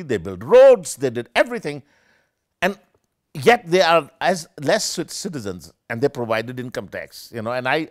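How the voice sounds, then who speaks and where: An older man, a professor, giving an animated and discursive academic lecture with many self-corrections, seated in a small room with armchairs. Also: fairly high pitch, no room sound, clean studio sound. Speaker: keynote speaker, professor.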